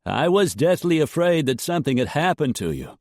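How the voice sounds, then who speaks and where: A man voicing a calm, carefully controlled older gentleman with a Boston accent who speaks in unctuous tones. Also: on the high side, brisk, dry recording, studio quality, voice pushed up. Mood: smooth.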